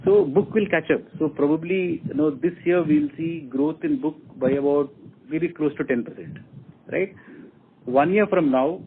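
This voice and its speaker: Indian executive on a phone line. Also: male voice, fairly high pitch. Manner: deliberate, repeats and enumerates points. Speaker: company executive answering questions. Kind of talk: corporate earnings call answers. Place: telephone conference call. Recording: muffled phone line with faint noise.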